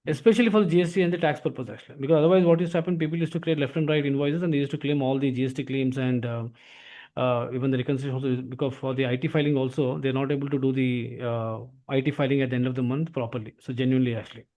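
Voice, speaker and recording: A man with an Indian accent explaining software as an instructor, often tagging phrases with "actually". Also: medium pitch, fairly even intonation, racing. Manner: repeatedly tags phrases with "actually". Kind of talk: explanatory software training lesson.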